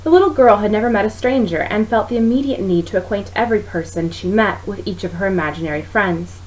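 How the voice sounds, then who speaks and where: A woman reading a story aloud as narrator, in an expressive style. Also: mid-range voice, fast. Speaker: author reading own book. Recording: noisy home recording.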